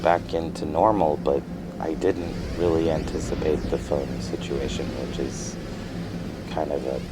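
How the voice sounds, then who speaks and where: A withdrawn man on the mend from a brain injury, in a very low voice. He talks in halting, uneasy starts, like natural conversation in an audio drama, with music clearly audible behind him. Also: fairly even intonation. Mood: tentative. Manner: hesitant, halting, with false starts.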